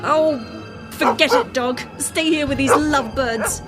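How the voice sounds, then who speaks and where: An actress playing a bitter, volatile, sarcastic wife from another dimension in a radio drama. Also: female voice, high-pitched, unhurried, loud music behind the voice. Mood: scornful.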